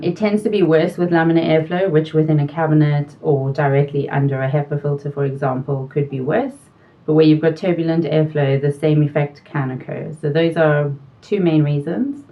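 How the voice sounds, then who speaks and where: A middle-aged woman with a South African accent, explaining technical quality topics in a measured way, pausing now and then with fillers. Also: a bass voice. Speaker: quality consultant guest. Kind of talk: explanatory interview answers. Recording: distant mic, dull sound.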